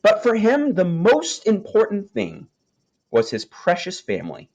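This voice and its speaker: A man reading a children's nature story aloud, with expressive storytelling delivery and deliberate phrasing. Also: sits high, muffled recording. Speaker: storybook reader.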